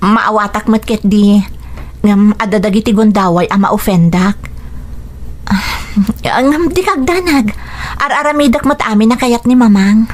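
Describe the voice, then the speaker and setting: A woman playing a patient, dutiful wife and stepmother in a Philippine radio drama, speaking in an earnest, gentle way. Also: medium pitch, measured pace, natural voice. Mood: troubled, earnest.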